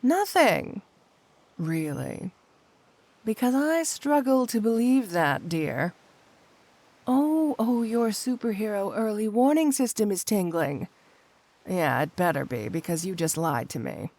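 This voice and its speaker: A woman's voice: mid-range voice, very animated, a little mellow, exaggerated voice. A woman playing a flirty, possessive supervillain cat burglar wife in an intimate ASMR roleplay, coyly drawing out her endearments. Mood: suspicious, scolding. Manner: coy, drawn-out, purring endearments with pointed hums.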